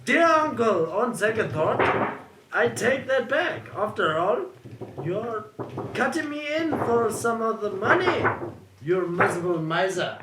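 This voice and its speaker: A man's voice: high-pitched, sing-song, voice pushed up, heavily acted. A man doing a cursing greedy young heir. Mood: grumbling. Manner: loud, slow and theatrical exclaiming. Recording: echoey room with noise.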